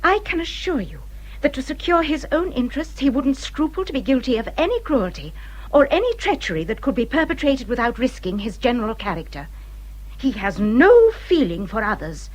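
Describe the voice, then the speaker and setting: An actress playing an injured, bitter English widow in a period radio drama, speaking in a formal, emphatic and dramatic way. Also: female voice, high voice, sing-song, fairly quick, poor audio. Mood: bitter, vehement. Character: bitter, injured widow warning a younger friend.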